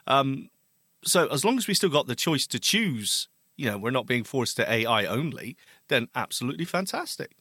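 A man's voice: medium pitch, sing-song, moderate pace, bright, forceful tone, breathy edge, no room sound, clean studio sound. A man co-hosting a tech podcast, teasing his partner in laughing banter. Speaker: podcast co-host. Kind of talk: casual tech podcast chat.

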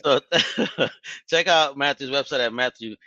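Bright, slangy podcast host man. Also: medium pitch, measured pace, no room sound, lo-fi sound. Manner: animated, stammering restarts, slangy. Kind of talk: casual interview wrap-up.

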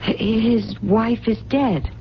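A wistful, stubborn woman in love in a vintage radio mystery. Her voice is fairly high and anxious, often halting or repeating a word. The broadcast sounds dull with faint noise. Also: steady tone. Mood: anxious, curious. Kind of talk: 1970s radio drama acting.

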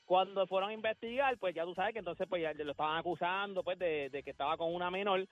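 A high-pitched male voice with a Puerto Rican accent delivers casual sports commentary. He is slangy and likes to repeat phrases for emphasis. The sound is dry and slightly dull, like a radio studio. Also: fast talker, no echo, faint music behind. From Puerto Rico.

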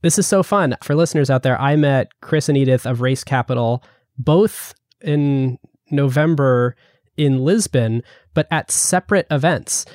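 Man, podcast host, upbeat easy banter, mid pitch, dry close sound. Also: measured pace, a little mellow, no room sound. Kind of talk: casual podcast interview.

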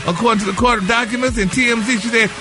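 A man's voice: very high-pitched, fairly even intonation, quick pace, over music. A man reporting celebrity gossip on a morning radio show, sassy and theatrical, peppering his talk with endearments. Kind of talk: gossip segment, animated casual talk.

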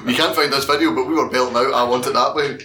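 A man's voice: medium pitch, fairly even intonation, fast, pushed and bright, echoey room, a little hiss or hum. A man co-hosting a podcast, chatting casually and laughing often. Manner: laughs often while talking. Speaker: podcast co-host. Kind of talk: casual chat.

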